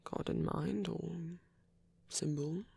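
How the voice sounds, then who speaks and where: A man playing a gentle, shy vampire priest in an intimate ASMR roleplay, speaking in a husky half-whisper with a hesitant, apologetic manner. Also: a little high, no room sound. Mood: soft, musing. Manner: hesitant, halting, apologetic, rambling.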